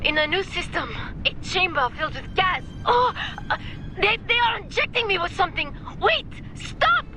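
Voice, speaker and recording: A woman's voice: very high-pitched, sing-song, normal speed, noisy background. A woman voicing a frightened captive in a video game, panicked, pleading and crying out.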